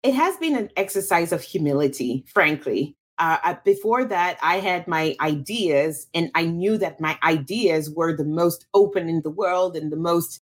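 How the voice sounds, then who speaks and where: Low husky-voiced woman from Cameroon. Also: lively intonation, moderate pace, few pauses. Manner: thoughtful, many restarts and fillers. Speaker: guest. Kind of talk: interview answer.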